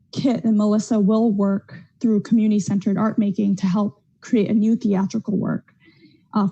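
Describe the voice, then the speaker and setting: A woman who directs an arts center, giving prepared remarks on a video call in a steady, careful way with frequent "um" fillers. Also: medium pitch, no room sound. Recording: dull lo-fi dry sound.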